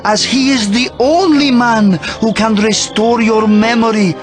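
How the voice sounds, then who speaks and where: A solemn priest in a video game cutscene, with a notably high voice. He speaks slowly and gravely, like one revealing weighty secrets, over music. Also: fairly even intonation. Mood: solemn, earnest. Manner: measured, grave storytelling. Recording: music behind the voice.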